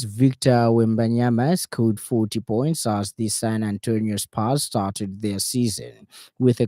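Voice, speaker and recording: A man's voice: fairly low pitch, warm tone, no echo, clean studio sound. A man with a Zambian accent reading a scripted radio news bulletin as the presenter. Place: radio studio in Lusaka.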